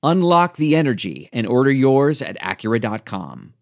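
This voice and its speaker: A male announcer reading a car advertisement in a polished, even way. His voice sits at a middle pitch. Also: normal speed, gravelly. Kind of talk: car commercial read.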